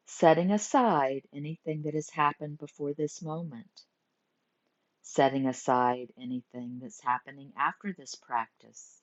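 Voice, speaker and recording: A woman teaching guided meditation, giving gentle instructions in short phrases with pauses between them. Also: low voice. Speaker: yoga nidra meditation teacher. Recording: muffled lo-fi recording.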